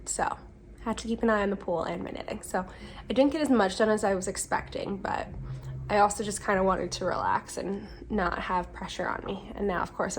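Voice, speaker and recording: Young woman, podcast host, slightly stuffy, rambling and chatty, mid pitch, faint background hiss. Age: 20s. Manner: chatty, rambling, with frequent ums and self-corrections. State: slightly congested from a cold. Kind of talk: casual chat. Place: home during a windy day.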